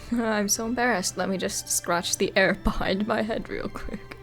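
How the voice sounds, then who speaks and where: A woman commenting casually on a game as she plays. Her medium-pitched voice is playful and prone to giggles. Faint game music runs behind her. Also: steady tone, measured pace. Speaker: gameplay video creator.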